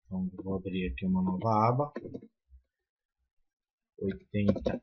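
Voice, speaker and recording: A man's voice: low-pitched, a little slow, breathy edge, highs cut off. A man with a Brazilian accent explaining a coding tutorial step by step, with frequent fillers and restarts. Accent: Brazilian Portuguese. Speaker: programming tutor.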